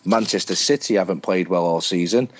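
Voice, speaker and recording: A man's voice: low-pitched, brisk. British man arguing football points. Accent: British English. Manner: animated, acts out players' thoughts. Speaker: co-host. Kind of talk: casual football chat.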